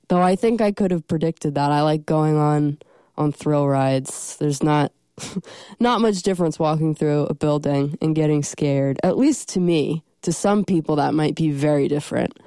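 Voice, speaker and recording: A woman's voice: low-pitched, measured pace, dark tone, dry recording. A young woman with a stuffy cold, hosting a college radio show in casual, rambling chat from a studio. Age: young adult. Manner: rambling, self-deprecating, many ums.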